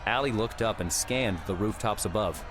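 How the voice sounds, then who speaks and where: A man's voice: fairly low pitch, moderate pace, a crowd in the background. A man reading scripted adventure story narration as an audiobook narrator. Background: background music.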